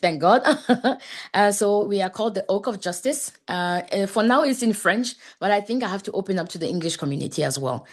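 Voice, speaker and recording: A woman from Ghana, a podcast guest speaking earnestly over a video call, halting often with fillers and restarts. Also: mid-range voice, expressive. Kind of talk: conversational interview.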